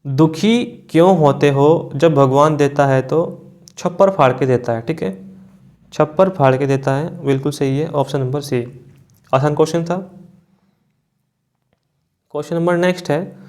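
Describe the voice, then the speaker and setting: A man with a somewhat high voice works as a coaching teacher in an online class. He explains answers step by step, repeating key points and frequently tagging them with a short check-in. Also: a little slow, long pauses, a little mellow. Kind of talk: online class working through multiple-choice questions.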